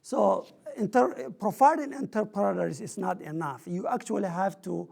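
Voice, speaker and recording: A man's voice: high voice, normal speed, gravelly, a little mellow. A man on a public panel, explaining his outreach work earnestly, with examples and questions. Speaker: panelist from a community outreach program.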